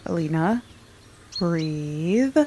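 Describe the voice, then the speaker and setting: Laid-back, skeptical adventuring friend. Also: female voice, deep voice, lively intonation, extremely slow, warm tone, slight background noise, exaggerated voice. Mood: dry, skeptical. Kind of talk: scripted audio drama acting.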